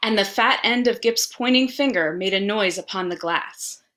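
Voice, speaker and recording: A woman reading a story aloud as narrator, with deliberate, theatrical phrasing. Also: mid-range voice, bright, forceful tone. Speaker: library staff reader.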